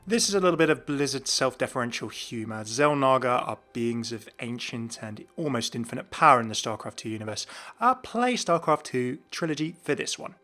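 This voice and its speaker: A man's voice: mid-range voice, very animated, a little fast, hardly any pauses, bright, forceful tone. A man giving scripted, explanatory commentary as the host of a gaming video. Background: background music.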